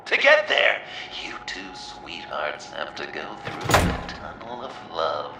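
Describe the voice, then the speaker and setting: A wildly swooping theatrical villain. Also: male voice, very deep voice, wildly swooping pitch, slow pace, roomy sound, recorded from a distance, heavy background noise, full character voice. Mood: gleeful mocking. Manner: mocking, grandiose, swinging from whispers to shouts. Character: theatrical, sadistic male villain staging deadly games. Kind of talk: video game villain acting.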